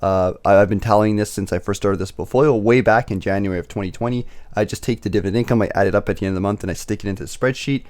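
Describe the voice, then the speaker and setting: Young male host, slightly raspy, rapid casual patter with frequent fillers. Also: fairly low pitch, darkish tone. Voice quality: slightly raspy, recovering from illness. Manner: chatty, filler-heavy, self-correcting. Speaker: dividend investing channel host. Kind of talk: casual screen-share commentary.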